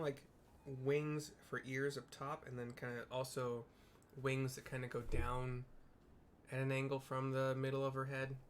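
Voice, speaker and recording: A man's voice: medium pitch, slight background noise. A man narrating a video game live, describing the scene informally for viewers. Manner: descriptive, informal, reads dialogue aloud.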